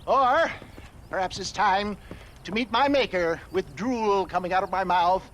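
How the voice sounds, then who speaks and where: Eccentric old gent for a game: high, slow, theatrical delivery, morbid good cheer. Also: male voice, a bit bright, slight background noise. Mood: wry, theatrical. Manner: theatrical, florid. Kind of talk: video game cutscene acting.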